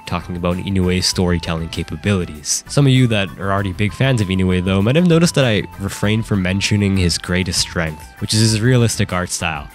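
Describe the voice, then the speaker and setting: A man in his twenties, a native French speaker, giving casual, jokey anime commentary full of slangy, self-deprecating asides into a close microphone. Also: low voice. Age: 20s. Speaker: anime YouTuber. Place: small indoor room studio. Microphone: close desk microphone. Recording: faint music behind. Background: faint music.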